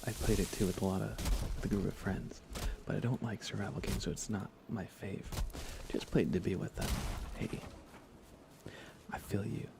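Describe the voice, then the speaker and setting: A soft-spoken man chatting casually in an ASMR game livestream, often slipping into a whisper as he rambles along unhurriedly. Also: fairly low pitch, steady tone, normal speed, gravelly, long pauses, background noise. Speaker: live streamer. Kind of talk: soft ASMR gaming stream, casual chat with viewers.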